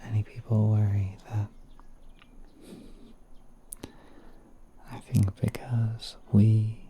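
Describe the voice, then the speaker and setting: A man playing a gentle, courteous spider prince in an ASMR roleplay, speaking in a soft, breathy half-whisper with hesitant, unhurried politeness. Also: on the low side, flat delivery, noisy background, natural voice. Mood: hospitable, reassuring. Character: gentle, courteous spider prince soothing a guest in his garden.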